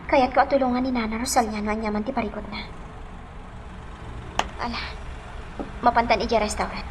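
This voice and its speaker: An earnest, kindly young woman, acted by a woman in a radio drama, asks questions with concern. Her pitch sits fairly high, and there is noisy outdoor-like ambience behind her. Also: steady tone, a little fast, lightly acted. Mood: earnest, puzzled.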